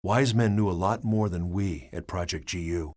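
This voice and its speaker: An actor playing a researcher who soberly recounts a virus crisis in measured, expository narration for a game. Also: male voice, sits low, darkish tone. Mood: grave, explanatory. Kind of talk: scripted narration.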